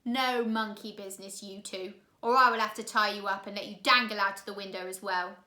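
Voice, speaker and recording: A threatening storybook henchman, played by a woman, gives bossy orders. The delivery is forceful and menacing, with room echo around it. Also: medium pitch, steady tone, a little fast, natural voice. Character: gruff, threatening henchman, adult man. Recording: roomy, echoey room sound.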